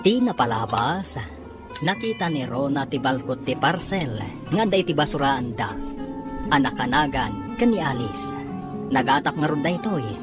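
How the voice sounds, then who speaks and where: A woman's voice: very deep voice, animated, moderate pace. A woman narrating and announcing a radio drama serial in a dramatic, scripted style. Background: prominent music.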